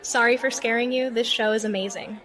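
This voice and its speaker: A woman's voice: on the high side, steady tone, a little fast, fairly bright tone, close to an everyday voice. A woman playing a playful, sweetly teasing seventeen-year-old girlfriend in a film script table read.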